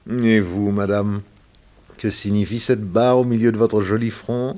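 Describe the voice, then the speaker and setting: An actor playing a wealthy, doting and evasive stockbroker in an early 1960s radio drama, coaxing and hesitant when pressed. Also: male voice, lowish voice, fairly even intonation, measured pace, darkish tone, lightly acted. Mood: coaxing, tender.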